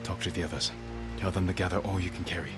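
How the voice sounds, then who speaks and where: An actor playing a grim young samurai lord in a video game cutscene, talking in a low, clipped, bitter way. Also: male voice, fairly even intonation, quick pace, lightly acted. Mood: cold resolve. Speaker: game character. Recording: game soundtrack music behind.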